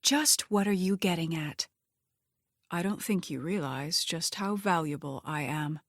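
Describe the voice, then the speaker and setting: Female narrator doing a calculating young man, audiobook dialogue, low-pitched, controlled, insinuating, dry sound. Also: animated, measured pace, no echo. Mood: cool, probing. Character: cool, calculating young man working undercover.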